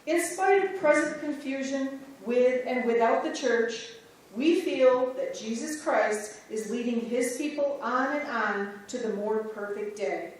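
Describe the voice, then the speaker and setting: A woman reading an old letter aloud in a solemn, deliberate way during a church reader's theater. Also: on the high side. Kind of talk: formal reading of a historical letter. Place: church sanctuary. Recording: echoey church sanctuary, distant mic.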